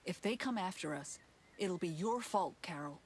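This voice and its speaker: An actress playing an anxious, guarded company insider in a video game, explaining things earnestly. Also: female voice, fairly low pitch, animated, moderate pace, slight background noise. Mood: tense. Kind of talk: cinematic game acting.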